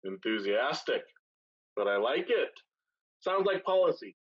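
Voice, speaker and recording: A man playing a wry, ruthless pirate captain in a staged play reading, measured and authoritative, with dull recorded sound. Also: mid-range voice, sing-song, husky. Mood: wry command.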